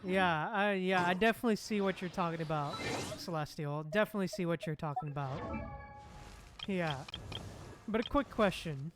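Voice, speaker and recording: Game music plays clearly behind a livestream host with a mid-range voice. The talk is casual and conversational, aimed at viewers in chat. Phrases often come back a second time as the host replies. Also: mid-range voice, moderate pace. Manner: repeats phrases, replying to chat.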